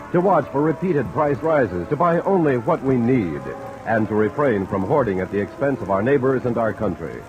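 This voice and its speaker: A man announcing in formal, emphatic 1950s network radio style, reading from a script. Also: medium pitch. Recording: muffled old radio broadcast, music and rain.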